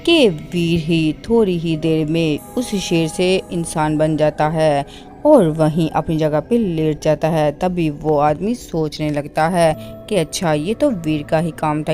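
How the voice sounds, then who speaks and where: A woman narrates a children's cartoon story in scripted style. Her voice sits a little low. Loud music plays behind her throughout. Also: measured pace.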